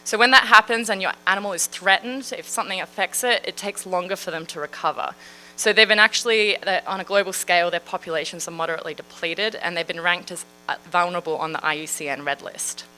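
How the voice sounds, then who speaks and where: Bright-voiced Australian woman giving a talk. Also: fairly low pitch, fast talker, a little hiss or hum, low-quality recording. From Western Australia. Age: 30s. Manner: friendly, chatty, with frequent fillers. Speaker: marine scientist. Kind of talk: public science talk.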